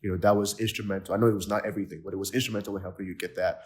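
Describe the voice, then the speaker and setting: A man's voice: low-pitched, fairly even intonation, rapid-fire. A man from Nigeria hosting a podcast interview, talking with enthusiasm and lots of fillers and restarts. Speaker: podcast host. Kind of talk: casual interview chat.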